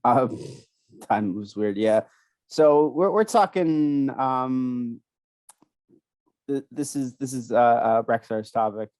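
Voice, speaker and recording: A young man co-hosting a casual chat, rambling and halting with many restarts, still sniffly from a cold. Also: mid-range voice, darkish tone, no room sound. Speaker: podcast co-host.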